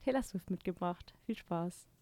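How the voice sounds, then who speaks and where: A woman's voice: lowish voice, moderate pace, dark tone, dry recording. Chatty Swabian woman, dry studio sound. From Baden-Württemberg, Germany. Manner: chatty, reads listener messages aloud. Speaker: podcast co-host. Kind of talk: casual chat.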